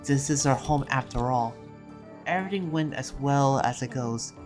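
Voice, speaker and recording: A roleplayer voicing an earnest, troubled demon slayer, telling events in a halting, reflective recap with restarts. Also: medium pitch, lightly acted. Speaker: roleplayer and video creator. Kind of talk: in-character recap narration and improvised roleplay. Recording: music under the voice. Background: music.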